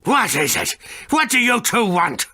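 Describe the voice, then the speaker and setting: A woman voicing a grumpy, excitable little furry creature in a video game, with a raspy voice and blustering, indignant manner. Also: medium pitch, measured pace, no echo, studio quality, heavily acted. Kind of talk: video game character acting.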